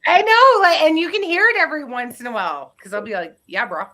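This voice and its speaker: A woman's voice: high voice, fast, sharp tone. A woman co-hosting a casual live chat, talking in a chatty, looping way with frequent restarts. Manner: chatty, restarts often, laughs between lines. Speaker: co-host.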